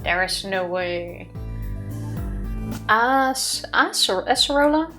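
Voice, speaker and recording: A woman's voice: medium pitch, animated, drawn-out words, pushed and bright, faint music behind. Woman, young adult, rambling, giggly, casual game stream. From Netherlands. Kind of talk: casual let's play chat.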